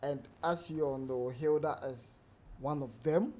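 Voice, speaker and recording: A man's voice: on the high side, fairly even intonation, measured pace, dark tone, slight background noise. A Ghanaian man hosting a podcast, interviewing a guest with playful, teasing banter. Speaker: podcast host. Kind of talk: casual interview chat.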